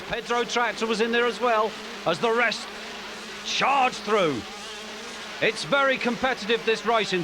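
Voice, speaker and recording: A man commentating a motocross race in an energetic way that picks up as the action builds. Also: very high voice, noisy background. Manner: energetic, rising excitement on race calls.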